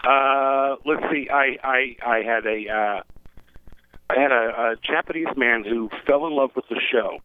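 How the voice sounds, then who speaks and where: A male guest phones in to a radio show and spins animated stories about his stage work, laughing as he goes. The sound is a thin, tinny telephone line, and his voice sits in a middle register. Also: medium pitch, measured pace, clean tone, dry recording. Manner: animated storyteller who laughs while talking. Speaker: guest performer. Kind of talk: phone interview.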